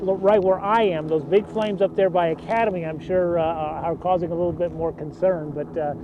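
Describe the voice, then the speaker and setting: A male field reporter with a high voice gives an unscripted live report from outdoors. He speaks hesitantly in starts and stops over a dull feed with loud vehicle and outdoor noise. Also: fairly even intonation, normal speed. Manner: hesitant, stop-and-start delivery.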